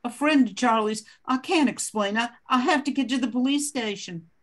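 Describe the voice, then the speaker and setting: A woman's voice: fairly high pitch, moderate pace, close to an everyday voice. An older woman playing an anxious, desperate widow in an amateur radio drama.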